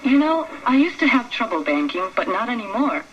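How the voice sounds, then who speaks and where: Woman as an earnest high-voiced bank customer. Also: sing-song, fairly quick. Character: earnest, cheerful female bank customer in spoof commercial. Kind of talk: parody testimonial ad read. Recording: dull lo-fi radio broadcast, faint noise.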